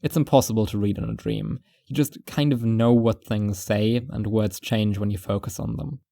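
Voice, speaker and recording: Man acting a brooding shut-in watcher, audio fiction narrator, gravelly fry, mellow tone, wry and deliberate. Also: lowish voice, fairly even intonation, no echo. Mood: reflective. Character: reclusive, paranoid male surveillance watcher, wry and brooding. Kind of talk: first-person fiction narration.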